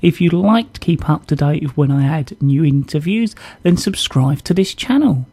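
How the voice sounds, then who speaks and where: A man presents a community radio show with a scripted introduction. His voice is soft-edged and dark, with heavy creak and a husky rasp. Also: a little high, animated, normal speed.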